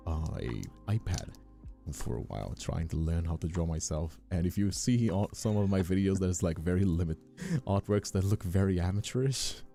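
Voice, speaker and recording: Male streamer, mellow and very low-voiced, for loose, earnest, off-the-cuff chat with lively inflection. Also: normal speed, gravelly. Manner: hesitant, repetitive, informal, earnest. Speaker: ASMR creator and streamer hosting a chat. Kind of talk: casual rambling livestream chat. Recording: faint music behind the voice. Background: faint music.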